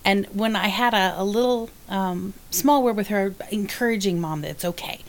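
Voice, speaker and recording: A woman talks in a relaxed group chat at a middling pitch. She is thoughtful, speeding up and slowing down as she works through her ideas, with the odd filler along the way. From United States. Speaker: podcast co-host who teaches spinning.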